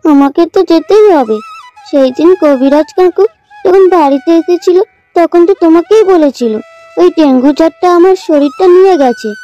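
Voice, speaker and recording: A woman voicing a calm, innocent little ghost girl pleading softly and slowly in a dramatized horror story. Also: very high voice. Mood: sad, calm. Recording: dull lo-fi audio over music.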